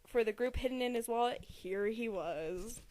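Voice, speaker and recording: A woman's voice: a little high, moderate pace, darkish tone, no echo, poor audio. A young woman giving an amateur dramatic reading of a novel, prone to giggling and stumbling over words. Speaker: amateur reader.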